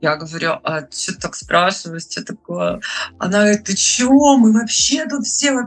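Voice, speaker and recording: A woman's voice: mid-range voice, animated, normal speed, breathy edge. A woman in her thirties from Russia, a hairdresser telling her story in a chatty, casual interview with lots of fillers. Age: 30s. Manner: chatty storyteller, many fillers, laughs often. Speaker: guest, hairdresser living abroad.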